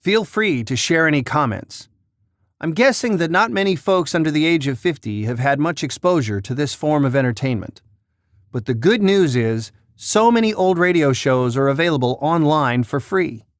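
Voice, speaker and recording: A man with a slightly high voice hosts a countdown. He reads scripted narration in a very dry recording. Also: animated, normal speed, no room sound. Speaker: countdown host.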